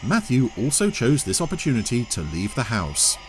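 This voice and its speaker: A man's voice: mid-range voice, wildly swooping pitch, normal speed, a little hiss or hum. A man narrating a scripted audio story in the role of storyteller. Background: music.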